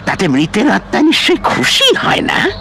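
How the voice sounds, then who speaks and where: A man playing an older, solemn tantric guru in a dramatised horror audio story, speaking gravely and emphatically. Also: high-pitched, wildly swooping pitch, normal speed, sharp tone, heavily acted. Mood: grave warning. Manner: grave, emphatic, theatrical delivery. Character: solemn, commanding male tantric guru warning his disciple.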